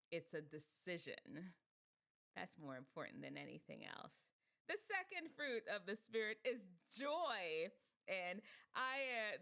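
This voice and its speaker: Animated Jamaican woman host. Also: fairly low pitch. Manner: animated, laughs often, muses aloud. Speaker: show host. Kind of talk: casual talk to teens.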